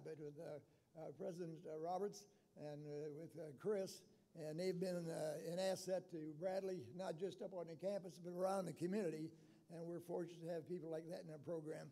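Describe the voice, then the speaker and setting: An elderly man with a raspy, rather high-pitched voice speaks at an award ceremony. His tone is mellow and soft-edged. He delivers an informal acceptance speech, plain-spoken and halting as he reminisces. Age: 80s. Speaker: retired coach, award inductee.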